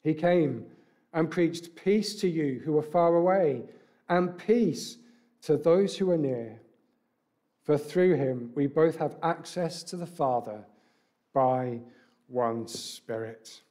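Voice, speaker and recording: Slightly croaky preacher. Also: male voice, a little high, slow pace, mellow. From England. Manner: conversational, with filler ums and rhetorical questions. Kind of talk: sermon. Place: large church hall with brick wall, indoors. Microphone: stand mic at a lectern.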